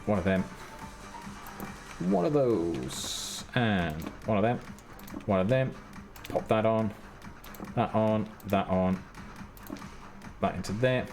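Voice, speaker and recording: Male streamer, lowish voice, mumbles through each build step, music playing underneath. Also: animated, slow, pauses often, a little mellow. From Britain. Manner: muttering step-by-step to himself, casual and self-mocking. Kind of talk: live-stream gameplay commentary. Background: his own background music.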